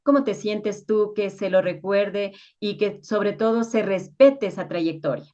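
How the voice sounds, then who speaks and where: Slightly muffled lo-fi audio; female interviewer, Quito accent, medium pitch, conversational questioning. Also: a little slow, mellow. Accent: Ecuadorian, Quito. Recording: dull, lo-fi sound.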